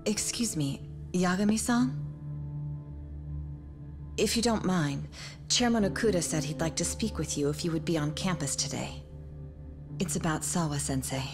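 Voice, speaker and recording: An actress playing a polite, reserved school staff member in a game drama, speaking formally. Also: female voice, fairly low pitch, moderate pace, pauses often, natural voice. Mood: polite, subdued. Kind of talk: dramatic game cutscene dub acting. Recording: loud background music under the voice.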